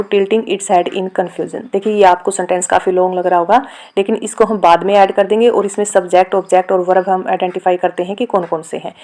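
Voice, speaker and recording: A woman with an Indian accent explaining a lesson step by step as a teacher, reading out examples slowly and deliberately. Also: medium pitch, dark tone. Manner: instructional, reads example sentences slowly and deliberately. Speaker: grammar teacher. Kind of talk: step-by-step lesson explanation mixing Hindi and English.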